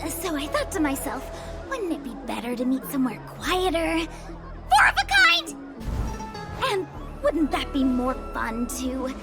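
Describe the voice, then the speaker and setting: An actress playing a breezy young slacker woman, a playful guide in a video game, with an expressive, theatrical manner. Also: high-pitched, unhurried, heavily acted. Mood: excited, playful. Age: young adult. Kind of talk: animated video game voice acting. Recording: game music behind the voice. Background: background music.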